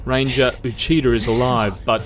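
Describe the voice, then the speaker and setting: An actor playing a steady military rescue worker with brisk, clipped, practical talk in an audio drama. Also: male voice, medium pitch, background noise, natural voice. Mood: brisk, focused.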